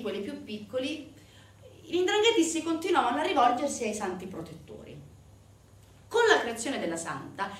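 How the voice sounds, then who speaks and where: A woman delivering a theatrical narrative monologue to an audience, emphatic, with dramatic pauses and rhetorical questions. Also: on the high side, fairly bright tone. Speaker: stage actor performing a monologue. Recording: echoey, distant stage recording with noise.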